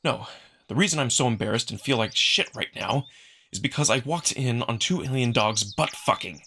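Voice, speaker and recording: Bright-voiced man narrating dramatically. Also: medium pitch, moderate pace, slightly rough. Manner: expressive, acting out the narrator's feelings. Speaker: narrator, amateur voice performer. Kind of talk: dramatic first-person visual novel narration.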